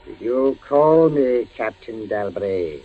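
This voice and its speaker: A slow, stern spectral Inquisitor. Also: male voice, on the low side, lively intonation. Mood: cold, menacing. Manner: deliberate, ominous, formal. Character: cold, stern spectral Inquisitor, a male friar. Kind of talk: 1940s radio drama acting. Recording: very muffled, faintly noisy 1940s radio recording.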